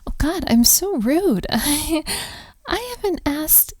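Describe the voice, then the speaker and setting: A woman playing a flustered, self-deprecating young hotel maid in an intimate audio roleplay, speaking haltingly with soft, giggly breathiness. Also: high voice, animated, no room sound, studio quality. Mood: curious, tender. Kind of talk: intimate audio roleplay, one-sided conversation.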